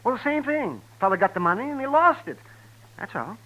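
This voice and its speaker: An old frontier doctor who hedges and stammers through his answers. The voice is high, bright and swoops about a lot. A faint hiss sits under the old radio broadcast. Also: big pitch swings, fairly quick, exaggerated voice, low-quality recording. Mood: evasive. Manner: hesitant, stammering, evasive. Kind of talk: 1950s radio drama acting.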